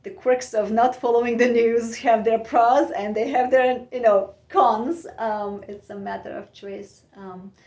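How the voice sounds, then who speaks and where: A woman from Bulgaria, musing in a soft, meandering way with many pauses and fillers as she hosts her own podcast from home. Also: fairly high pitch, steady tone. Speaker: podcast host. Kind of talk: reflective personal monologue. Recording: echoey room.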